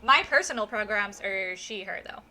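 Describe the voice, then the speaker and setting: A woman hosting a live game stream with casual, effusive, excitable chat. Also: mid-range voice, moderate pace. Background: music.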